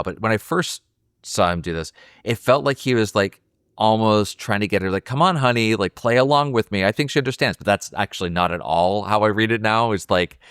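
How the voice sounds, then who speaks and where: Low-voiced man chatting on a podcast. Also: fairly bright tone, clean tone, dry recording, studio quality. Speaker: podcast co-host. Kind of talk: casual film discussion.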